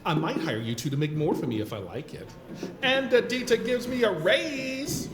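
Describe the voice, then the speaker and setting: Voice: medium pitch, very animated, normal speed, close to an everyday voice. An actor playing a flamboyant, condescending stage performer in an audio drama, grand and mocking, in a reverberant space with music. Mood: teasing, grand. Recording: reverberant hall, music behind.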